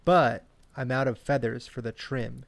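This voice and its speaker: A man's voice: mid-range voice, fairly even intonation, unhurried, creaky, no echo. A man voicing a friendly, proud and easygoing robin craftworker in a children's bedtime story.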